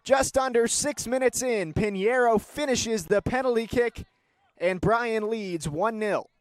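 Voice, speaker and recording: A young man calling live sports play-by-play, moving between measured description and excited calls. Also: very high voice, no echo. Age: young adult.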